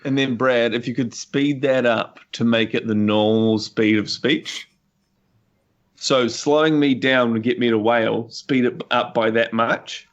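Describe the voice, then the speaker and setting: A man's voice: mid-range voice, fairly even intonation, measured pace. A man, teasing and bantering casually as a podcast player over a lo-fi connection. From New Zealand.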